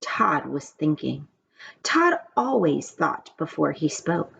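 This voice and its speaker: A woman reading a children's story aloud in an expressive storytelling style. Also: low-pitched, slow pace, gravelly, husky. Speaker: story reader. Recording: dull, muffled, background noise.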